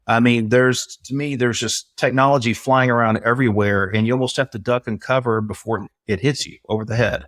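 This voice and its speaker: A man's voice: mid-range voice, fairly even intonation, fairly quick, hardly any pauses, a bit bright, dry recording, clean studio sound. A man hosting an interview, asking long, rambling conversational questions. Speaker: podcast host. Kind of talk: casual interview.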